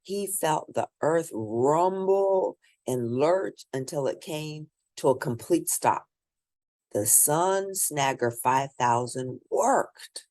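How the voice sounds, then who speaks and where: A woman with a low-pitched voice reads a children's story aloud. Her delivery is slow and very animated, swinging up and down in pitch, with theatrical stress on key words for young listeners. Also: dry recording, clean studio sound. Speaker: storybook reader. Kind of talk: expressive read-aloud of a children's picture book.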